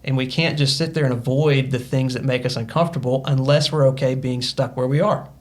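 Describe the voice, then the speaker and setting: A man's voice: medium pitch, steady tone, fairly quick. A man in his thirties, a podcast guest, telling his story in an earnest, motivational way with many fillers. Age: 30s. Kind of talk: casual podcast conversation.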